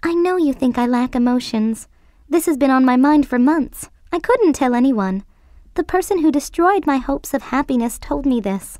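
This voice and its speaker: A woman voicing a calm, dutiful young woman, an elder sister, who speaks in an earnest, restrained way. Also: high-pitched, normal speed, dry recording, clean studio sound, pitched above the performer's own voice.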